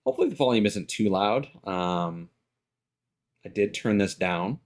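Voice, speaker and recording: Low-voiced man rambling on a game stream. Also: big pitch swings, measured pace. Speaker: livestream host. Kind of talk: casual game stream commentary.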